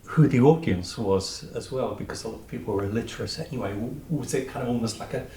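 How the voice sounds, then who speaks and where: A man's voice: medium pitch, fast talker, dark tone. A man asking conversational questions as a podcast interviewer, in a hesitant, musing way. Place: indoors at a library, away from studio. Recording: roomy, echoing, noisy location recording.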